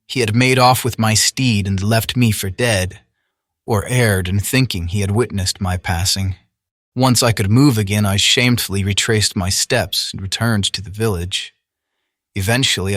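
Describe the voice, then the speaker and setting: A man's voice: fairly low pitch, moderate pace, a bit bright, no room sound, clean studio sound, lightly acted. A man playing a humbled knight in an audio drama, telling his tale in a solemn, formal way. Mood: ashamed, solemn. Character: Calogrenant, humbled male knight recounting his defeat.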